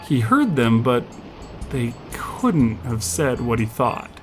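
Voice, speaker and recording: A man's voice: mid-range voice, sing-song, slow pace, faint music behind. A man narrating scripted fiction as the storyteller of an audio tale.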